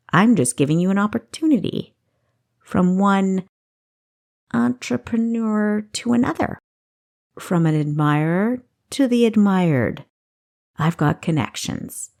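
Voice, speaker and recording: A woman voicing a cocky, smooth-talking young male thief in a fantasy story, sly and boastful. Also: medium pitch, darkish tone.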